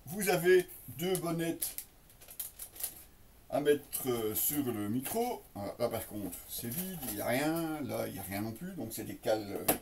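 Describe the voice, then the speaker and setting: A man's voice: mid-range voice, big pitch swings, measured pace, darkish tone, distant mic, a little hiss or hum. A man casually presenting an unboxing, explaining as he goes in an improvised, hesitant way and speaking directly to viewers. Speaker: product reviewer and presenter.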